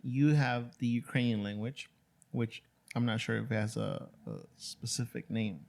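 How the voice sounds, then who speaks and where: A man's voice: mid-range voice, steady tone, vocal fry, a little mellow. A man whose first language is Spanish, hosting a podcast in a laid-back, casual way. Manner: laid-back, drawn-out "dude" and "you know" fillers. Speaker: podcast host. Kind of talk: casual chat.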